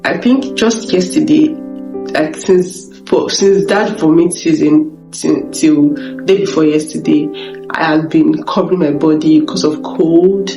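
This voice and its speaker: A young Nigerian woman vlogging about her recent illness, her voice weak and off from being sick. She talks haltingly and keeps repeating words and restarting. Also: deep voice. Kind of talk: personal confessional vlog. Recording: echoey room with guitar music behind.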